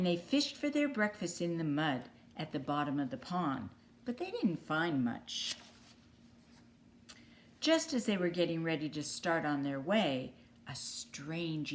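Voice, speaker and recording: A woman from the United States reads a picture book aloud for children. Her voice sits a little lower than most women's. She narrates as a storyteller would. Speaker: storybook reader.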